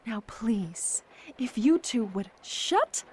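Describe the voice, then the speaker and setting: A woman's voice: on the high side, very animated, slow pace, slightly rough, exaggerated voice. A woman playing a level-headed survivor in an apocalypse audio drama, firm and reasonable as she keeps the peace. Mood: exasperated. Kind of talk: fan audio drama acting.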